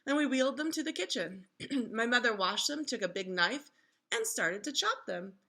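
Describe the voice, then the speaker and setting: A woman reading a children's storybook aloud in an expressive, instructive way, like a teacher engaging young listeners. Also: fairly high pitch, moderate pace, gravelly.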